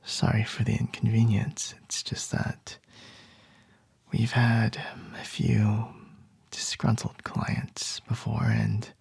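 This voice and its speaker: A man playing a calm, charming male CEO boss in an ASMR roleplay, soft and reassuring with a light teasing edge. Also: on the low side, slow pace, vocal fry, breathy edge, no room sound, heavily acted. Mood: soothing.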